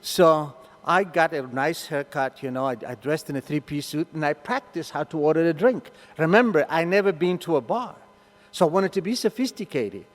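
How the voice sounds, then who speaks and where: An older man from Argentina with an Argentine Spanish accent, a native Spanish speaker, telling an animated story to a meeting and acting out the voices. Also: on the high side, normal speed, big-room sound. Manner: animated, acts out voices in his stories. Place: meeting hall.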